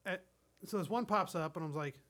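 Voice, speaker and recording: A man's voice: high voice, fast talker, fairly bright tone. A man hosting a crude comedy podcast, chatting casually in a rambling, repetitive way and swearing freely. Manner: rambling, repeats himself, swears. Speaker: podcast host.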